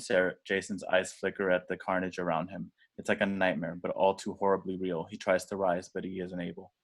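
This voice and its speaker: A man reading screenplay action directions aloud in a deliberate, dramatic way. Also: sits low. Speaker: stage directions reader.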